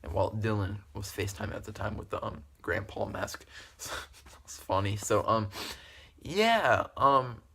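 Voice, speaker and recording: A man's voice: lowish voice, lively intonation, normal speed, gravelly, bright, forceful tone, husky. A young man telling a story casually to camera in a rambling, giggly, repetitive way. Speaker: video creator telling stories.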